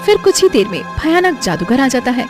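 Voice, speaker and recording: A woman's voice: high-pitched, sing-song, a little fast, music in the background. A woman narrating a scripted cartoon moral story.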